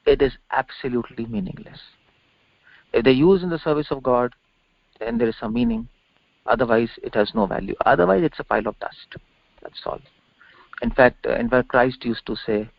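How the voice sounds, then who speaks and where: Gravelly male preacher on a dull call. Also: mid-range voice, no echo. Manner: emphatic, repeats phrases, poses rhetorical questions. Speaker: religious preacher and teacher. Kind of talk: scripture lecture, spoken off the cuff. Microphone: conference call. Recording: dull lo-fi conference-call audio.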